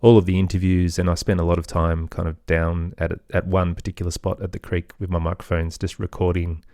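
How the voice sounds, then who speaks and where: A man's voice: deep voice, little pitch movement, brisk, dark tone, no echo, studio quality. A middle-aged man giving reflective, loosely phrased answers as a guest in an interview. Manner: reflective, loosely phrased, hedging with "sort of" and "kind of". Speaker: podcast guest, musician and storyteller.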